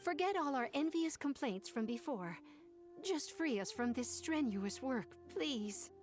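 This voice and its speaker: Exhausted young clerk, game dialogue, high voice, pleading, faint music. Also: female voice, expressive, unhurried.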